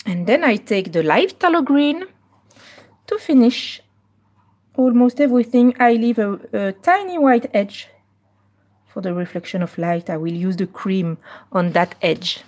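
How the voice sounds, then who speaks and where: A Belgian woman hosting a live coloring stream, chatting casually and moving between French and slightly halting English. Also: medium pitch, lively intonation, darkish tone.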